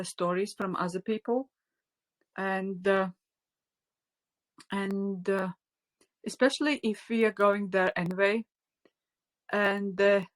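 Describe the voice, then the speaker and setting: A woman whose first language is Latvian, leading a live online spiritual class. She talks unscripted at a middle pitch, soft and halting, full of fillers and restarts, at times sinking to a near whisper. The sound comes from a phone mic. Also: poor audio. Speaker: spiritual teacher.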